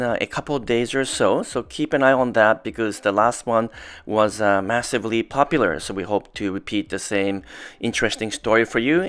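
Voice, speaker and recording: A middle-aged man speaks with a smooth, resonant voice that sits on the lower side. He hosts a casual vlog and walks through technical details step by step, with frequent filler pauses. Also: fairly bright tone. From Japan. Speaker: automotive video host.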